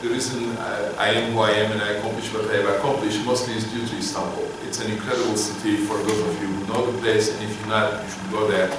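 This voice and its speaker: A man's voice: fairly low pitch, level tone, distant mic, background noise. Man lecturing in an echoey hall. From Turkey. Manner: halting, filler-heavy, self-deprecating humor. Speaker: guest lecturer. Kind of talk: informal university lecture. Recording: echoey hall heard from afar.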